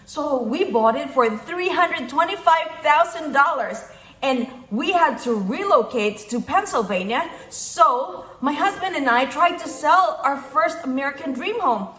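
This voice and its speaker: A woman with a Filipino accent speaks in a notably high voice, with clear room echo around it. She delivers a motivational talk point by point, emphatically repeating the phrases that matter to her. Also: lively intonation, measured pace, fairly bright tone. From Philippines. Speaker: motivational speaker, physician and investor. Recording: echoey room sound.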